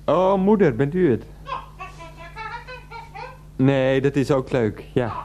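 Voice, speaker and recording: An actor playing a henpecked grown son in a radio play, placating and halting as he talks to his mother. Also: mid-range voice, animated, noisy background. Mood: flustered, placating.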